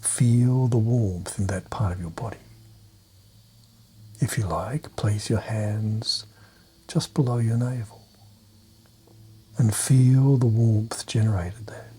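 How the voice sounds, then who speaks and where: Male meditation narrator: hushed, half-whispered, gentle delivery on a steady, flat line, dark relaxed tone, short phrases with long pauses. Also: fairly low pitch. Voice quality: soft, breathy, half-whispered. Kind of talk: guided meditation, soft-spoken hypnotic suggestion.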